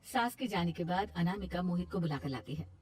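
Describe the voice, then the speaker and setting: A woman's voice: lowish voice, expressive, a little fast, faint music behind. A woman reading scripted narration for a cartoon moral story.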